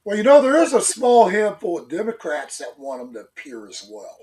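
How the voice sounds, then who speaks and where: A man's voice: sits high, huge pitch swings, a little fast, darkish tone. A congested man co-hosting a casual opinion talk show, rambling with many fillers and restarts. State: congested, stuffy. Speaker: co-host. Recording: voice a bit far from the mic.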